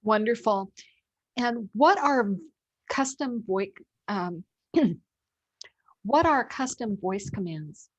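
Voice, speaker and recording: A woman's voice: mid-range voice, lively intonation, takes it slowly, pauses often, dry recording, lo-fi sound. A woman asking questions and responding conversationally as an interviewer.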